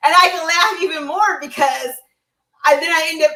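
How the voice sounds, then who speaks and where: A woman's voice: very high voice, moderate pace, bright, forceful tone. A woman giving a casual, heartfelt personal testimonial as a guest, talking effusively and conversationally. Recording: roomy, echoey sound.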